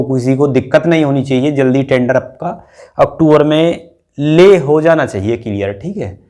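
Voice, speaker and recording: A man's voice: fairly high pitch, a little mellow. Man explaining step by step. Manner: repetitive step-by-step explaining, frequently checking with "okay". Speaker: exam-prep teacher and channel host. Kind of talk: informal explainer addressed to exam candidates.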